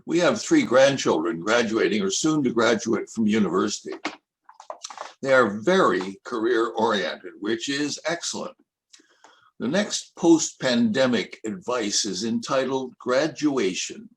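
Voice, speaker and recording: An older man in his seventies reading his own poems aloud, with measured, expressive recitation. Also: medium pitch, poor audio. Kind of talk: poetry reading at an event.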